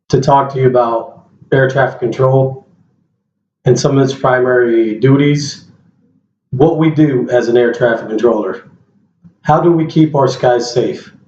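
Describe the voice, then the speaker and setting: A man's voice: mid-range voice, a little mellow. A man giving a plain-spoken informational presentation as an air traffic controller, addressing his listeners directly. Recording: roomy, dull lo-fi sound, faint noise.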